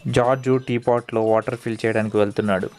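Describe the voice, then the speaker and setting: A man's voice: medium pitch, steady tone, fast, darkish tone, faint music behind. A man narrating a children's cartoon story as its storyteller.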